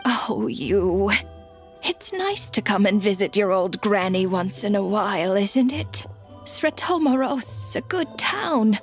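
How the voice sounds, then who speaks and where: A woman's voice: medium pitch, big pitch swings. A woman playing a kindly, forgetful old grandmother in a video game, hesitant and doting, with a wavering, aged voice. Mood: fussing, cheerful. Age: older. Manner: slow, hesitant, fussing and doting. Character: forgetful, kindly old granny with failing memory. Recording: background music under the dialogue.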